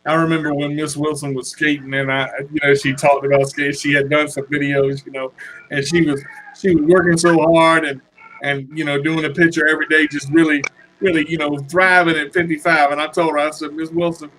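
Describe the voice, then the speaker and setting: A man's voice: fairly high pitch, level tone, brisk, a bit bright, poor audio. A man in a casual video call chat, telling a story with many fillers.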